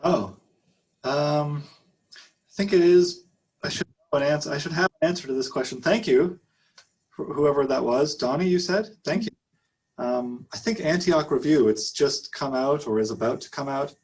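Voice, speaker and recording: A man poet answering audience questions at a reading, hesitant and filling pauses with ums. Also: a little high, a little mellow, breathy edge, low-quality recording.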